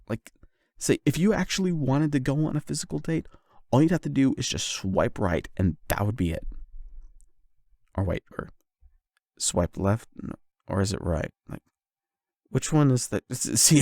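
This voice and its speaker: Guy doing a nervous young dude confessing feelings, asmr roleplay, awkward and stammery, gravelly, really dry close sound. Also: mid-range voice, big pitch swings, a little mellow, no echo. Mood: nervous, rambling. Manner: halting, awkward, self-doubting, with sighs and stammers. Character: nervous lovestruck young man confessing to an online friend. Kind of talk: intimate ASMR roleplay monologue.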